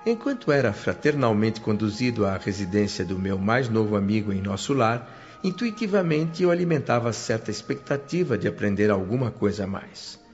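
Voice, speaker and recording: Music plays under a slightly muffled recording of an earnest Brazilian man in a radio serial. He tells his own story with measured, formal reverence, as a grateful newcomer full of wonder. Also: lowish voice, sing-song, a little mellow. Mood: reverent wonder. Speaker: narrator and protagonist. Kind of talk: dramatized radio serial narration.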